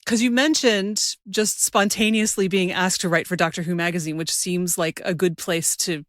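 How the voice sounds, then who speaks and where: A woman's voice: on the low side, fairly even intonation, moderate pace, no room sound, clean studio sound. A woman hosting a casual interview, talking in a thoughtful, tentative, conversational way. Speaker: podcast host, interviewer.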